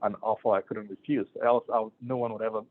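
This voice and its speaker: A man's voice: fairly low pitch, flat delivery, fast talker. A middle-aged man from Ghana, a physician giving a casual talk to students, rambling with many fillers and restarts. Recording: tinny phone-like sound.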